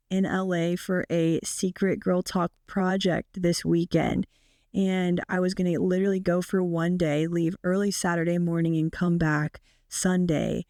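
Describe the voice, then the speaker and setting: Hoarse, raspy young woman podcaster. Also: a little mellow, dry recording, clean studio sound. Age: 20s. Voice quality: raspy, deep. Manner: chatty, many fillers. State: sick, hoarse. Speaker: podcast host. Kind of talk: casual solo podcast chat.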